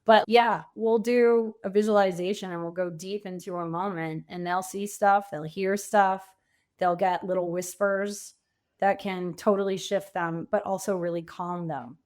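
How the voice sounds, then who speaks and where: A woman with a mid-range voice talks as a guest coach on a podcast. She explains things conversationally and tells stories in an animated manner, slipping into quoted speech. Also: mid-range voice, unhurried. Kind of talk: conversational interview.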